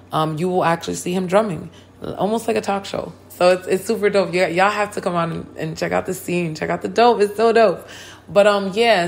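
A hoarse woman hosting a hip hop and politics podcast, talking casually and full of slang and hype. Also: fairly low pitch. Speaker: podcast host. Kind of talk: casual chat.

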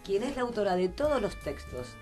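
A woman's voice: medium pitch, very animated, fairly quick, music in the background. A middle-aged woman hosting a radio interview in a small studio, talking at a studio mic with effusive, echoing agreement. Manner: warm and effusive, echoes guests' words. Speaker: radio host. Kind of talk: casual radio interview chat. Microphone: studio mic with headphones.

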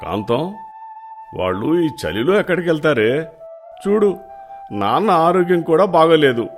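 Elder brother for village cartoon tale, a man acting: mild, caring, pleading, raised pitch. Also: very animated, measured pace, soft background music. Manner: reassuring, pleading.

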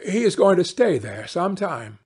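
A man's voice: high voice, big pitch swings, measured pace, a little mellow, slightly rough, voice pushed up, full character voice. A man voicing a cold-hearted, scheming mother in a fairy tale, evasive and placating.

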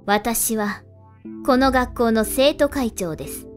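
A woman acts out a strict female student council president in an amateur drama. Her voice is on the high side, formal and resolute, with music underneath. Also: takes it slowly.

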